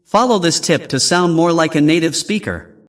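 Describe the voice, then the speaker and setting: A man hosting an English lesson, giving slow, clear, scripted prompts between practice clips. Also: fairly high pitch, animated, soft background music. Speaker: English teacher host.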